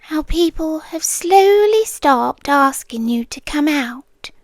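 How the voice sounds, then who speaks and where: A woman's voice: high-pitched, no room sound, heavily acted. Woman playing a possessive British guardian angel. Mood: wounded, possessive. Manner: soft, intimate half-whispering; calm, coaxing and slow. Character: possessive female guardian angel, obsessive, smothering. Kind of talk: ASMR roleplay.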